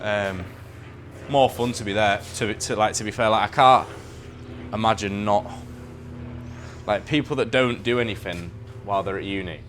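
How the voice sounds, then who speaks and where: A man's voice: lowish voice, moderate pace, fairly bright tone, a little hiss or hum. A man, chatting casually as a guest while playing pool, with lots of "like" and "um". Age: 20s. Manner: laid-back, lots of "like" and "um". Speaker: guest coach. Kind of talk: casual chat over a game of pool.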